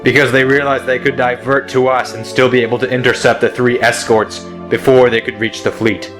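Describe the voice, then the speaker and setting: A man playing a steady, curt and weary squadron commander in a sci-fi audio drama. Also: mid-range voice, a little fast, over music, lightly acted. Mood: calm, commanding.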